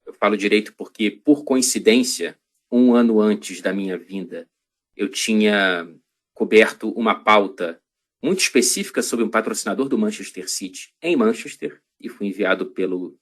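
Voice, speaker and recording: A Brazilian man, a journalist guest on a podcast, telling a long story in a reflective, halting way with many restarts. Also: on the low side.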